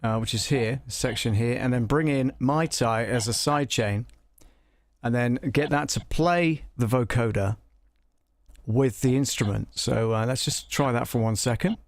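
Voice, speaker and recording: A man hosting a casual live audio software walkthrough, muttering to himself as he troubleshoots, with a gravelly, creaky voice. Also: mid-range voice, a little slow, no echo, studio quality. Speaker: livestream host demonstrating audio software.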